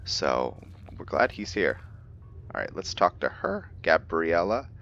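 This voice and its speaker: Low, slow-paced man, dull recording. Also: sing-song, a bit bright, soft background music. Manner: musing, speaks as "we". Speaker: gamer narrating a role-play. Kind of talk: casual playthrough commentary.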